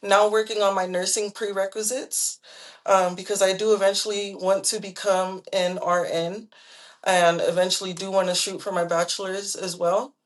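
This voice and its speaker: A woman's voice: mid-range voice, little pitch movement. Young woman sharing her story earnestly. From Southern California. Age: 20s. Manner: earnest, with many fillers and pauses. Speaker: guest. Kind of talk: personal story in an interview.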